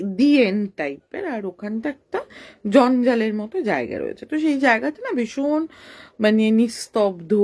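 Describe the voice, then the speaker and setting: A woman storyteller speaks at a slow, measured pace. Her mid-pitched voice moves up and down animatedly as she explains details conversationally. She talks straight to her listeners, as in informal spoken storytelling. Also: darkish tone.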